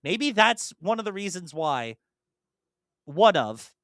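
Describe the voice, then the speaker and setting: A man's voice: high voice, sing-song, slow pace, fairly bright tone, no room sound, clean studio sound. A young man co-hosting a podcast, talking in an enthusiastic, rambling way with frequent restarts. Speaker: podcast co-host. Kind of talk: casual chat.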